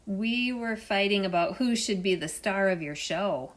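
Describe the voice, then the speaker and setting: A woman's voice: medium pitch, expressive, measured pace, natural voice. A woman doing a pushy pufferfish. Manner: pushy, boastful.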